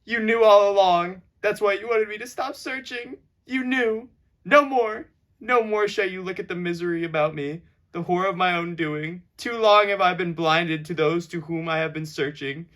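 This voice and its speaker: A man's voice: high voice, normal speed, a bit bright. A man playing an anguished, self-loathing king, lamenting in declamatory amateur puppet-show style. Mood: anguished accusation.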